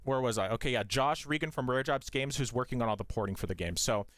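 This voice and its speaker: Man, rambling casual host, mid pitch, dry sound. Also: fast, fairly bright tone, no echo. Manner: rambling, with many restarts and fillers. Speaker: host of a game studio demo stream. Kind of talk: casual live-stream talk.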